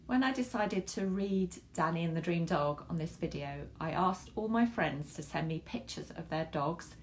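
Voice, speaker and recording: A woman reading a picture book aloud to children in an expressive way, stressing words for drama and speaking directly to young listeners. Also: fairly low pitch, slight background noise. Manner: animated, dramatic emphasis with drawn-out words and hushed asides.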